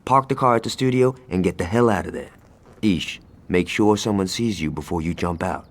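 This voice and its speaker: An actor playing a cocky, hot-tempered young gang lieutenant in a video game, brash and sarcastic, with a lot of swearing. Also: male voice, sits low, normal speed. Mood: brisk. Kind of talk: video game cutscene acting.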